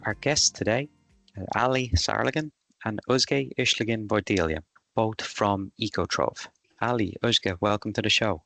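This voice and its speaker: Deep gravelly male podcast host. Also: a bit bright, no echo, soft background music. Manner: halting, repeats words while asking questions. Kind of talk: interview hosting.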